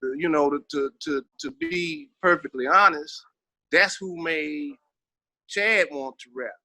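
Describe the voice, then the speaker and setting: A man's voice: fairly high pitch, unhurried, bright, forceful tone, no room sound. A middle-aged man with a Southern American accent, reminiscing casually as an interview guest in an emphatic, slangy, streetwise way peppered with swearing. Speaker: interview guest, veteran rapper.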